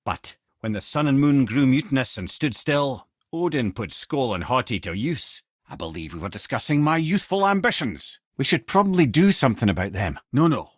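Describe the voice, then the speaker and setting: A man's voice: medium pitch, sing-song, moderate pace, hardly any pauses, breathy edge, dry recording, studio quality. An actor playing a witty, worldly-wise old man with a Scottish accent, telling lore as a theatrical, eloquent video game companion. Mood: wry, conversational. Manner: wry, eloquent, theatrical storyteller. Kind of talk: video game character dialogue, lore storytelling.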